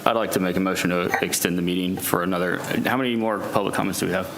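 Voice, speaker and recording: A commissioner raising a point of order and making a motion in a formal, measured way. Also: male voice, low-pitched, steady tone. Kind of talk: procedural remarks at a meeting. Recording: echoey chamber with clear noise.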